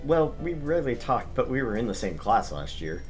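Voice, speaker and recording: A man's voice: mid-range voice, big pitch swings, moderate pace, soft background music. A man streaming a visual novel, reading the narration aloud with casual asides.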